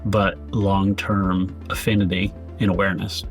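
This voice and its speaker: A man's voice: low-pitched, little pitch movement, measured pace, soft background music. Man explaining as a podcast guest. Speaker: podcast guest, advertising director. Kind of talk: conversational industry explanation.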